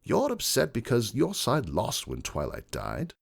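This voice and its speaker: A man playing a self-assured, wealthy gentleman stallion who argues emphatically and cuttingly in a dramatic fan fiction reading. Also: mid-range voice, huge pitch swings, unhurried, creaky, pitched above the performer's own voice, exaggerated voice.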